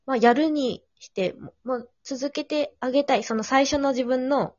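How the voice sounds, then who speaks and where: A woman's voice: high-pitched, normal speed, a little mellow, dry recording. A woman hosting a casual conversation, offering advice in polite, tentative, reflective phrasing. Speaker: podcast host. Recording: slightly dull lo-fi audio.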